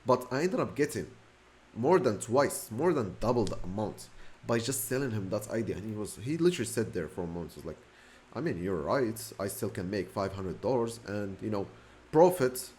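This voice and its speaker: A man's voice: fairly low pitch, a little mellow. A young man from Morocco, whose first language is Moroccan Arabic, speaks English with a Moroccan accent in a casual, rambling vlog, correcting himself often and speeding up in bursts. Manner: rambling, self-correcting, fast bursts with fillers. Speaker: vlogger. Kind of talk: casual talking-to-camera story.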